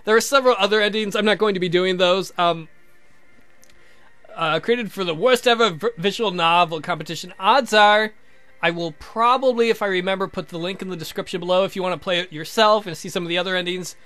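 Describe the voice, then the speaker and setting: A man's voice: sits high, fairly quick, sharp tone, clean tone. A man hosting a let's play with casual, joking, sweary commentary. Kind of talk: casual game playthrough commentary and narration.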